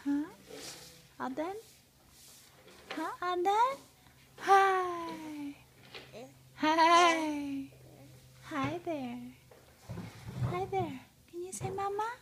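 A woman's voice: very high-pitched, drawn-out words, pauses often, a bit bright, a little hiss or hum. A woman talking playfully to a baby, cooing and repeating short phrases.

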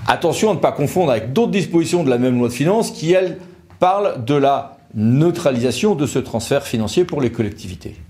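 A man's voice: mid-range voice, animated, fairly quick, warm tone. A man in his forties with an accent from France, explaining legal news to camera in an informal, conversational way. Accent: French from France. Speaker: presenter and interviewer. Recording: clearly audible background noise.

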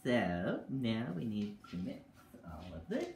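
A man's voice: lowish voice, huge pitch swings, takes it slowly, a little mellow. A young man hosting a casual home cooking show in his kitchen, chatting to people off camera while he mixes. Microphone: camera on the counter. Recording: roomy kitchen with background noise.

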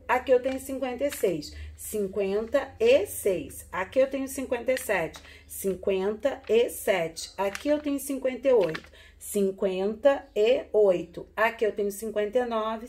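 A woman in her forties leads a remote lesson for small children, her voice sitting a little high. She counts slowly, repeating every number in a gentle sing-song. The sound is close and plain, like a phone held nearby in a small classroom. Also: a little hiss or hum. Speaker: primary school teacher. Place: classroom with wall charts, indoors.

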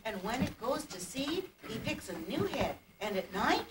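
A woman playing an excitable, jolly puppet storyteller, reciting rhymed verse in a singsong theatrical way. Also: fairly high pitch, moderate pace. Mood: merry. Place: indoor puppet stage, far camera. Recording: distant, echoey, noisy old camcorder tape.

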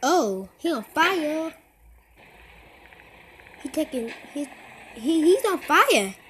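A teenager giving casual, excitable commentary while playing a mobile game, repeating short phrases and calling out to other players. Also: high voice, slow pace, slight background noise, low-quality recording. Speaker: gamer. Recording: game music loud behind voice.

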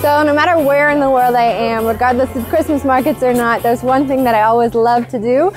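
Female travel host, thirties, high voice, keen explainer to camera, clear music bed underneath. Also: fairly even intonation, brisk, darkish tone. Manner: enthusiastic, explanatory. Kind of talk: upbeat travel vlog presenting to camera. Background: background music.

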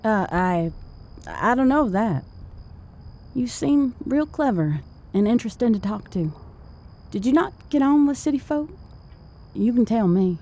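A woman's voice: a little high, lively intonation, a little slow, mellow. An actress playing a shy, polite, self-doubting woman in an audio drama, timid and hesitant. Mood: tentative.

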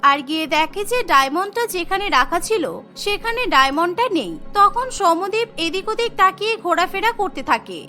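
A woman's voice: very high-pitched, bright, forceful tone. A woman narrating a scripted cartoon story in a storytelling manner.

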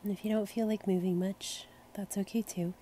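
A woman leading a guided meditation in a gentle, soothing, unhurried manner. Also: mid-range voice, steady tone, a little hiss or hum. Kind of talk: slow guided meditation narration.

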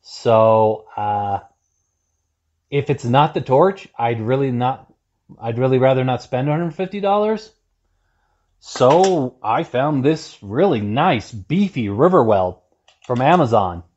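A man's voice: medium pitch, lively intonation, takes it slowly, darkish tone, dull audio. A man in his thirties hosting a casual how-to repair demonstration in an indoor workshop, talking in a plain-spoken, self-deprecating way. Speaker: welding video host. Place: indoor workshop with corrugated metal wall.

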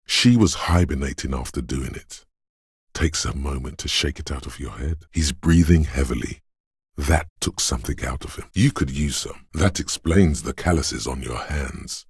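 Very deep, husky inner body voice. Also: male voice, moderate pace, dry recording, studio quality, heavily acted. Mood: stern, weighty. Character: Endurance, brooding inner voice of a weary detective's body. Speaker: voice actor. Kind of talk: scripted game narration in second person.

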